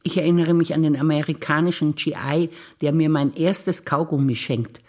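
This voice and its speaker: A woman, a stage actor, reciting childhood memories in a theatre performance in a plain, even way. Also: sits low, normal speed.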